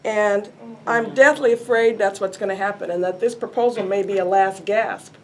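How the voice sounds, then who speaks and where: A woman's voice: medium pitch, moderate pace, slight background noise. An older woman, an arts commissioner, speaking earnestly and emphatically at a city hall community meeting. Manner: emphatic, earnest, self-described loud voice. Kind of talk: public meeting statement.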